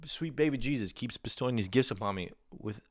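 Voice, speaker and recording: A man's voice: mid-range voice, brisk, dry recording, clean studio sound. A man hosting a comedy podcast, reading reviews aloud with crude, sarcastic, mocking commentary. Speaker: podcast host.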